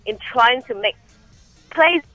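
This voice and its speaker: A woman's voice: on the high side, expressive, slow, sharp tone, no echo, lo-fi sound, soft background music. A woman from Singapore calling in to a radio show, talking hesitantly with many fillers and restarts. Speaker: caller. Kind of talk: phone-in call.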